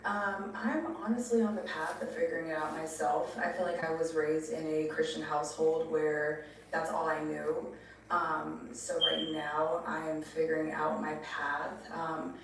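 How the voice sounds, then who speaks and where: A woman in her late twenties giving casual, unscripted answers as a dating show contestant. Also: deep voice. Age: late 20s to 30. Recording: echoey, distant, faint noise.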